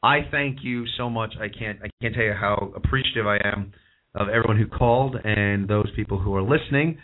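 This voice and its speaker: A hoarse man hosting a call-in wrestling talk show, rambling and opinionated, repeating himself as he goes. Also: on the low side, brisk. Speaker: host. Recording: tinny phone-like audio.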